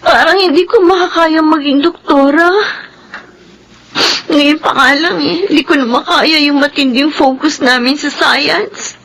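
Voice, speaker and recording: A woman's voice: high voice, measured pace, fairly bright tone. A woman playing a meek, sickly Filipino teenage daughter in a radio drama, speaking in a plaintive, deferential way. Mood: tearful, weary. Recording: noisy radio drama track.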